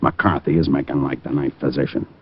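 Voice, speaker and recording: An actor playing a gruff, no-nonsense police lieutenant in a 1950s radio drama, brisk and matter-of-fact. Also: male voice, extremely low pitch, steady tone. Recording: muffled old radio recording with faint hiss.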